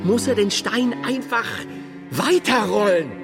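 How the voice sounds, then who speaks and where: Devout medieval scholar, earnest, easily scandalised, high voice, radio drama, music behind. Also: male voice, unhurried, creaky. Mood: fervent. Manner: earnest, fervent, hesitant when puzzled. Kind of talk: German radio drama acting.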